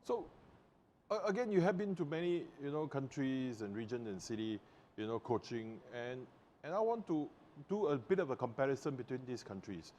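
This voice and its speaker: Male interviewer, hesitant questions full of fillers, mid pitch, faint hiss behind. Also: animated, normal speed, darkish tone.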